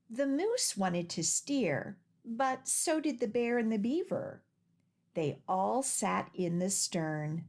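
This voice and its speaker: A woman with a medium-pitched, very lively voice hosts storytime for young children. She takes her time over each phrase, speaking deliberately and plainly as she reads picture books and leads simple rhymes. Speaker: children's library storytime host.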